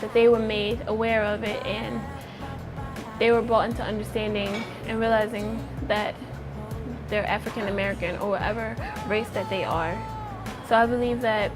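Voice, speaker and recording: A woman's voice: medium pitch, flat delivery, moderate pace. A young woman from Pennsylvania speaking earnestly about identity, often opening with "I think that" and circling back to her point. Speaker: interviewee. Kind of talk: personal testimony to camera. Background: background music.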